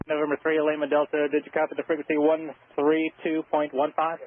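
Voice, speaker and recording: Guy doing air traffic control on a tinny radio, even tone, halting, repeats call signs. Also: on the high side. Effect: aircraft radio transmission. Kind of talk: radio instructions to an aircraft.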